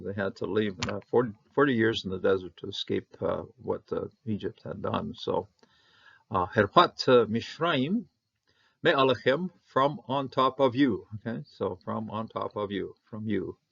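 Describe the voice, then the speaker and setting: A man teaching a Bible Hebrew lesson, talking haltingly with many fillers and restarts, his voice jumping up on each Hebrew word. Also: fairly low pitch, vocal fry, a bit bright, slightly rough, highs cut off. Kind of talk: unscripted verse-by-verse Hebrew lesson.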